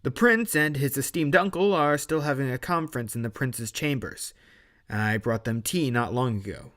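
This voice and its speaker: A man's voice: mid-range voice, normal speed, fairly bright tone, voice pushed up, lightly acted. A man voicing a polite, loyal member of a ship's crew in a formal audio drama manner. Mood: courteous, reassuring.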